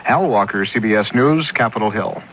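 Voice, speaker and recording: A man's voice: on the low side, moderate pace, breathy edge, muffled recording. A man giving a scripted news report as a radio correspondent, on an old 1970s radio recording.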